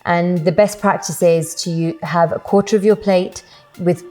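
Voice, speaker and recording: A middle-aged woman with a British accent talks through health advice in an informative, step-by-step way, with plenty of fillers along the way. Her voice sits a little low and sounds mellow and warm. Soft music plays faintly behind her. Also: fairly even intonation, normal speed. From Britain. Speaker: guest health expert. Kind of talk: explanatory interview talk.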